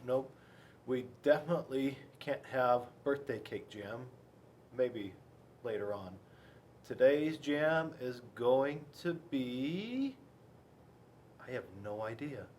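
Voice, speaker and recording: An American man reads a story to young children in a playful, rambling way. His pitch swings widely, and he pauses for effect before chatty asides. There is slight noise behind him on a homemade recording. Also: a little mellow. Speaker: school resource police officer reading to children. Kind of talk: picture-book read-aloud with chatty asides to children.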